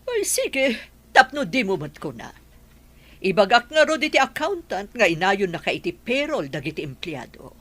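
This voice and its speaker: A woman's voice: medium pitch, big pitch swings, moderate pace, fairly bright tone. A woman voicing a wealthy businesswoman mother of a grown son in a dramatised story. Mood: questioning, consenting.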